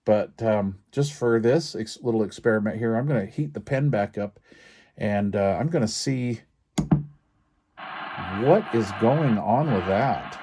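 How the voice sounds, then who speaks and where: A man casually talking through a hands-on repair demonstration, informal and folksy, thinking aloud in a halting way. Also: fairly low pitch, mellow, slightly rough. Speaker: radio repair technician and video host. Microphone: handheld camera while working.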